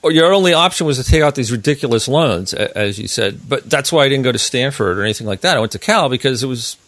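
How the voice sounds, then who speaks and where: American male, middle-aged, mid-pitched, casual talk in a dry space. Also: animated, quick pace, a bit bright, dry recording. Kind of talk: casual conversation.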